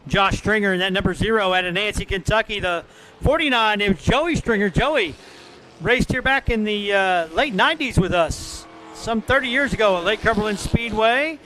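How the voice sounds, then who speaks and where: A man's voice: high voice, animated, moderate pace, sharp tone, a little hiss or hum, poor audio. A man working as a dirt track race announcer, calling out drivers, car numbers and lap times in live commentary. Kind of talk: live motorsport commentary over a PA, reading names and times.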